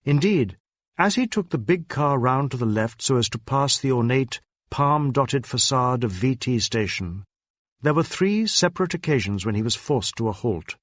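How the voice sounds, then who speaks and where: A man reading a crime novel as scripted dramatic narration, in a measured, literary storytelling manner. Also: mid-range voice, lively intonation, no echo. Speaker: audiobook narrator.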